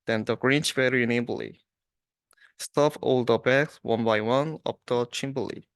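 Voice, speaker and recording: Slow, clear young man reading aloud. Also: mid-range voice, no room sound, clean studio sound. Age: young adult. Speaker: student reader. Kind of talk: storybook read-aloud.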